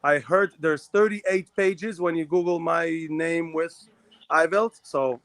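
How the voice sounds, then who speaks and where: A man from Israel co-hosting a live talk show, talking fast in a rambling way with many restarts. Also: a little high, fairly even intonation, fairly bright tone, no room sound. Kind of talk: casual live chat.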